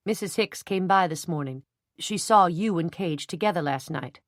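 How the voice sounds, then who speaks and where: A woman's voice: fairly low pitch, moderate pace, no echo, clean studio sound, lightly acted. A woman voicing an uneasy, diplomatic older father who speaks in a mild, placating way.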